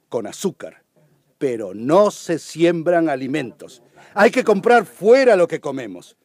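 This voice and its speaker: An actor playing an impassioned advocate in a radio drama courtroom, denouncing injustice with emphatic oratory, rhetorical questions and repetition. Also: male voice, sits high, exaggerated voice.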